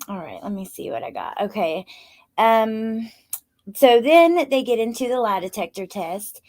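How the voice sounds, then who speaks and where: A woman's voice: a little high, big pitch swings, unhurried. A woman co-hosting a recap discussion, talking casually and informally with the odd mild swear word. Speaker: co-host of a true crime recap show.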